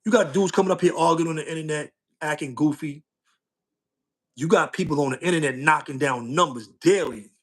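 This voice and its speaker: Middle-aged male streamer with a slightly higher voice, loose street slang, and a repetitive, testifying delivery. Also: brisk, breathy edge. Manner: street slang, loops back with "you know what I'm saying". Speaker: social media streamer. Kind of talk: casual livestream talk.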